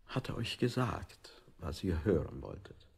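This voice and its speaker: An actor playing a controlling interrogator in a 1960s experimental radio play, probing and insinuating with menacing politeness. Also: male voice, low-pitched, sing-song, unhurried, vocal fry, heavily acted.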